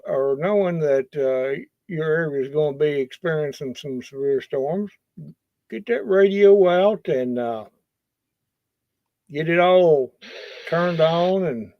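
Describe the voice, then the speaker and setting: A man talking casually and haltingly as a livestream host, in a folksy, unscripted way. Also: a little high. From Kentucky, US. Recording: dull lo-fi sound, roomy echo.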